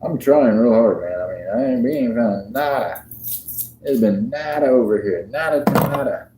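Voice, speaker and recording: A man's voice: medium pitch, expressive, normal speed, very noisy. A man, chatting and joking with viewers on a casual livestream. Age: 50s. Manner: laid-back, joking, chats with viewers. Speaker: livestream host. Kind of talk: casual livestream chat while sorting coins.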